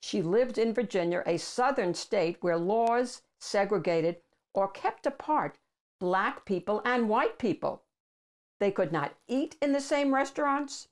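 An older woman reads a picture book aloud as a host for children, with deliberate, emphatic phrasing. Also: medium pitch, lively intonation. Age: older, 60s or above. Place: small room at home with bookshelves.

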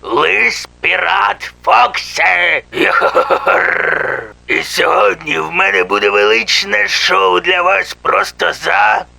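A man voicing a boastful pirate fox animatronic in a fan-dubbed horror cartoon, gravelly and theatrical, drawing out his words. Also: sits high, slow pace, bright, forceful tone, exaggerated voice. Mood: boastful, showy. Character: boisterous male pirate fox animatronic showman, theatrical braggart.

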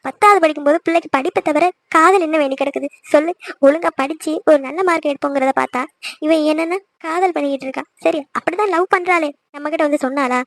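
A woman's voice: high voice, creaky, no room sound. A woman playing an anxious, scolding middle-aged mother in a family drama, fretful and emphatic. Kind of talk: melodramatic family audio drama.

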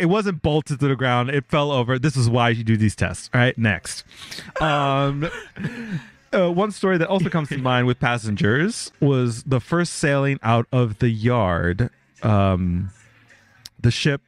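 A man's voice: medium pitch, dry recording. Podcast guy reading stories, swears a bunch, keeps laughing, dry close sound. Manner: laughs often, swears freely, animated storytelling. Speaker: podcast co-host. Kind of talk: casual chat, reading out stories.

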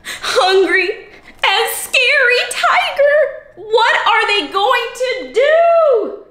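Young woman, high pitch, very lively intonation, interactive storytime host, exclamatory delivery, small echoey room. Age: young adult. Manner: animated, exclamatory, expressive with gasps and questions. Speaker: children's read-aloud host. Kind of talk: interactive picture-book storytelling for young children. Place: small cozy indoor room. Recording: roomy indoor echo.

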